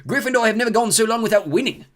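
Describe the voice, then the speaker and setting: A man's voice: high-pitched, very fast, a bit bright, voice pushed up. A man voicing a centuries-old male ghost who is genteel, stiff and easily miffed.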